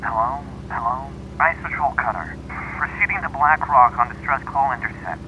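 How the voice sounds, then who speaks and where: An actor playing a brisk ship's radio operator, clipped and official, through a radio filter in an old-time radio drama. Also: male voice, medium pitch. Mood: urgent, official. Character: brisk male patrol-ship radio operator. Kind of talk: 1940s radio drama acting. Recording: muffled radio transmission with clear noise.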